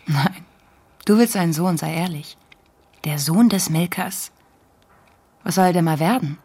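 An actress playing a soldier's young, forthright lover in an intimate radio drama, with a breathy, husky voice. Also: female voice, on the low side, a little slow, a little mellow. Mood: teasing.

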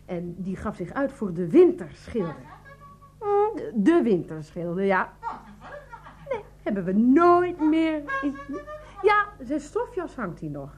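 An actress playing a sarcastic, exasperated Dutch wife who is quick to rage, in a radio drama. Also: female voice, on the high side, huge pitch swings, full character voice. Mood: exasperated sarcasm.

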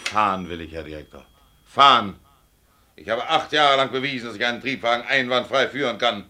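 A man's voice: mid-range voice, fairly quick, sharp tone, slight background noise. A man playing a stubborn, indignant working tram driver in a German radio play, blunt, emphatic and colloquial. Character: stubborn, indignant dismissed male tram driver fighting for his job.

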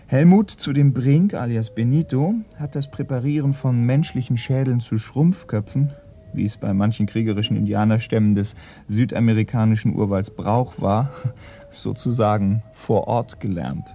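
An actor playing a dutiful, matter-of-fact detective's assistant in a radio crime play, measured and methodical, slightly hesitant. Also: male voice, mid-range voice, warm tone, slightly rough. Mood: factual, reporting. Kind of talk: German radio play acting.

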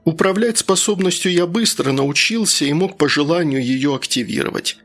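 A man's voice: a little high, animated, normal speed, a bit bright. A man narrating a first-person story as a scripted audiobook reader. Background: faint background music.

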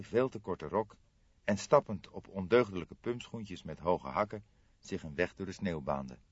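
A man's voice: sits low, no echo, dull audio. A man reading scripted literary narration as an audiobook narrator.

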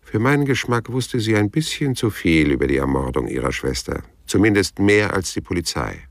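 An actor playing a laconic, blunt private detective in a German radio crime drama, with a gravelly voice and a dry manner. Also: male voice, deep voice, sing-song, normal speed, no echo, heavily acted. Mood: wry, reflective. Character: hard-boiled male private detective, laconic and probing.

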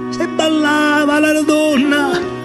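A man's voice: very high-pitched, monotone, takes it slowly, loud music behind the voice, pitched above the performer's own voice. A man with a Roman accent voicing a dazed, wistful young soldier in a stage monologue. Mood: dreamy, wistful. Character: dazed, dreamy young soldier longing for the seaside.

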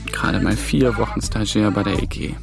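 A man playing a young, careful and sceptical European institution trainee in a radio drama, speaking in a measured way. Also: lowish voice, vocal fry. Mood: anxious musing. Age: young adult. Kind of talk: German radio drama dialogue. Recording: music behind the dialogue.

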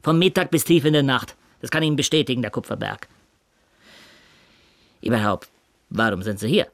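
Defensive middle-class tailor, animated pitch, dry close sound, terse folksy phrasing. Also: male voice, normal speed, creaky, no echo. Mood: defensive, aggrieved. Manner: terse answers, inverted folksy word order. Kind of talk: German radio drama dialogue.

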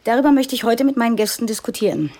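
A woman's voice: on the high side, animated, rapid-fire, warm tone. An actress playing a formal, courteous female news presenter and talk show moderator in a satirical radio play.

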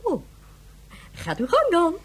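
A woman's voice: very high voice, wildly swooping pitch, slow pace, a bit bright, exaggerated voice. An actress playing an imperious, impatient female Excellency in a science fiction radio drama, haughty, formal and dismissive. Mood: haughty irritation. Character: imperious, impatient woman presiding as Her Excellency.

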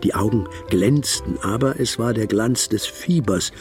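An actor playing a devoted Victorian gentleman who narrates a German radio drama in an expressive, literary style. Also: fairly low pitch, unhurried, music in the background, lightly acted. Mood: admiring, reflective.

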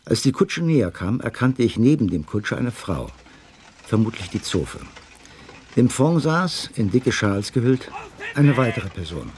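A man in a radio play acting a detective's loyal gentleman companion, narrating and speaking in a composed storytelling manner. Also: medium pitch, sing-song, a little slow, slight background noise. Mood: composed, suspenseful narration.

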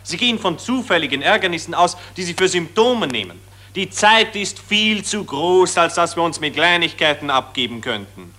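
A solemn, high-flown patriot lectures on glory and great times. His voice is bright and forceful and sits a little high. He preaches more than he talks. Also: animated, normal speed. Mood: lofty. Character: pompous patriotic male optimist, lecturing.

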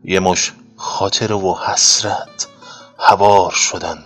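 A man reads a sentimental scripted radio tribute in a low voice. Quiet music sits faintly behind him. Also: steady tone. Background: soft music.

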